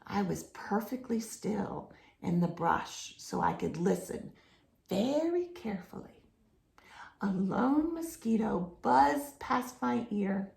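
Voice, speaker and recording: Slow-paced woman reading a children's story. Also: mid-range voice, creaky, reverberant. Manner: explains to young listeners with simple questions and expressive emphasis. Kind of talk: read-aloud picture book storytelling with asides to children.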